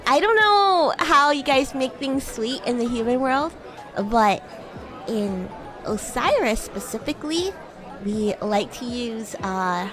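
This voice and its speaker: A woman's voice: on the high side, animated, slow, music in the background, a crowd in the background. A woman voicing a bratty, flustered young female demon classmate in improvised livestream roleplay, teasing and stammering as she acts haughty and defensive. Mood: chatty. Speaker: VTuber streamer.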